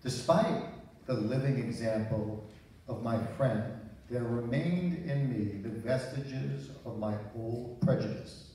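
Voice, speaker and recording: An older man reading a printed text aloud at a church service, carefully and in measured phrases. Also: mid-range voice, warm tone. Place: small church sanctuary. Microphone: handheld microphone, filmed from audience. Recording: echoey hall, distant mic, faint noise.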